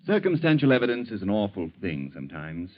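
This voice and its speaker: An actor playing a mild but shrewd bank teller who probes in a folksy, leading way, in 1940s radio style. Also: male voice, lowish voice, very animated, quick pace. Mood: musing, probing. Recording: dull, lo-fi 1940s radio recording.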